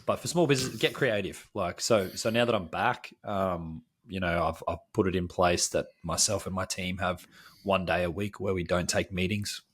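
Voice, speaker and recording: A man co-hosting a podcast while sick and hoarse, giving earnest advice in casual chat. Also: low voice, normal speed. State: hoarse, sick and losing his voice. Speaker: podcast co-host.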